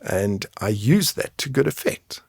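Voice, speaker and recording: A man's voice: fairly low pitch, sing-song, a little slow, vocal fry, slightly rough, no room sound, studio quality. A man explaining things frankly and conversationally as an interview guest, often checking his points with a tag question. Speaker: guest, men's mental health coach and advocate.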